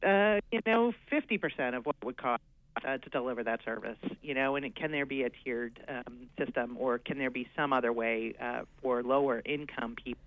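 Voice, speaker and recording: A man speaking in an ordinary middle register, working through his points with many pauses, fillers and restarts. His voice comes through a dry, slightly muffled radio recording. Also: mid-range voice, no room sound. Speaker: guest coordinating aging services. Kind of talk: radio interview, hesitant conversational. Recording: dull lo-fi radio sound.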